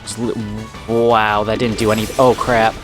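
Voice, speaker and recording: A man's voice: lowish voice, little pitch movement, moderate pace, over music. A man hosting a let's play, chatting and reacting aloud to a game while slightly sick, his voice a bit off. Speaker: gaming channel host.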